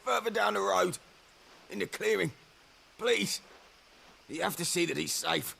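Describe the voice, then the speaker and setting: Gravelly, desperate wounded man. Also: sits high, a little slow, sharp tone, full character voice. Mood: shaken, pleading. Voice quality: heavily gravelly, strained. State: hurt, coughing. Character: frightened, wounded man pleading for his companion. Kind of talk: dramatic video game acting.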